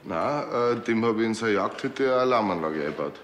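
A man's voice: on the low side, lively intonation, brisk, slight background noise, natural voice. A man playing a cheeky mechanic, echoey room. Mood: casual, evasive. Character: cheeky, shrewd male workshop mechanic. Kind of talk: TV crime comedy acting. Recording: echoey, reverberant room with faint music.

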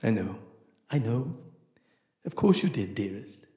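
An actor playing an anxious, devoted husband in a radio play, emotional and repeating himself. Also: male voice, lowish voice, sing-song, measured pace, mellow, very echoey. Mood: soothing, tender. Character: anxious, devoted husband searching for his lost love.

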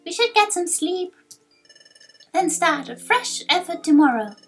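Woman as a high-pitched, earnest little fox creature. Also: sing-song, measured pace, faint music behind, exaggerated voice. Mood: earnest, wistful. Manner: pleading, eager.

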